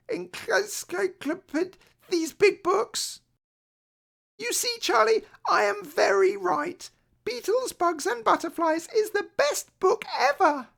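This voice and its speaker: A woman voicing an excitable, stubborn, fretful little girl in a children's storybook, with childlike, insistent emphasis. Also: very high voice, slow pace, vocal fry, voice pushed up, full character voice. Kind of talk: children's storybook read aloud.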